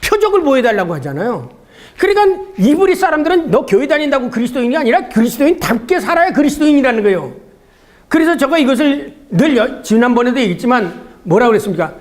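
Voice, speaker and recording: A man's voice: very high voice, very animated, fast. A man giving a theology seminar lecture in a conversational, didactic way, often asking rhetorical questions and answering them himself.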